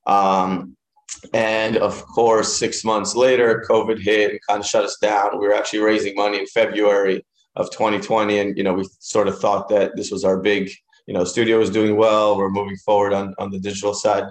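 A man's voice: lowish voice, little pitch movement, normal speed. A man in his thirties, originally from Israel, talking casually as an interview guest with many fillers and restarts. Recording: roomy echoey room.

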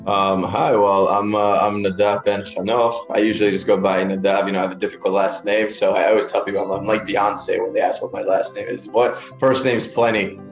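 A man's voice: fairly low pitch, flat delivery, a little fast, few pauses, soft background music. A man in his thirties, originally from Israel, talking casually as an interview guest with many fillers and restarts. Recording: roomy echoey room.

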